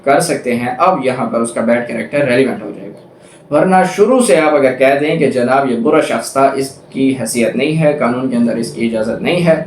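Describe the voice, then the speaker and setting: A man's voice: medium pitch, normal speed. A man with a Pakistani accent giving an explanatory lecture in a didactic way, repeating points for emphasis. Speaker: law lecturer. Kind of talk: explanatory lecture, reading statutes and paraphrasing them. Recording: echoey room with faint background noise.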